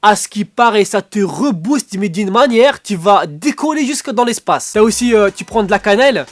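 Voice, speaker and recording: A man's voice: high voice, animated, moderate pace, no room sound, clean studio sound. A man with a stuffy nose doing a rambling comic vlog from under a duvet at home, acting out little dialogues. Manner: joking, rambling, acts out dialogues. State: stuffy nose, sore throat. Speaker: vlogger.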